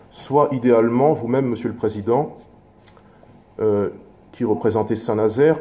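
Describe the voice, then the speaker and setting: Man, soft dark timbre, medium pitch, formal political address, measured, halting phrasing, councillor. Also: a little hiss or hum. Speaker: opposition local councillor.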